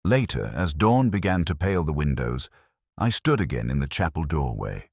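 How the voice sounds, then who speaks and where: A man reading a scripted first-person detective story in a measured, brooding, reflective way. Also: extremely low pitch, big pitch swings, gravelly, a little mellow, husky, no room sound, studio quality. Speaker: narrator.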